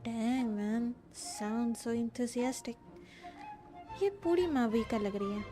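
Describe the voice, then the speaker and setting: A woman streamer with an Indian accent and a mellow, slightly high voice. She comments casually on a game and reads bits of dialogue aloud, with faint music behind her. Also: fast talker.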